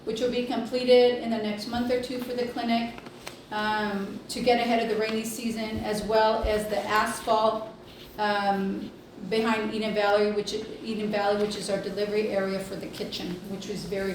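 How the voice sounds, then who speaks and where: A woman giving an informal report at a board meeting, speaking haltingly with many pauses. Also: mid-range voice, flat delivery. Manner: hesitant, halting delivery with long pauses. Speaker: healthcare district executive reporting to board. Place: indoor public meeting room. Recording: echoey room, distant mic, faint noise.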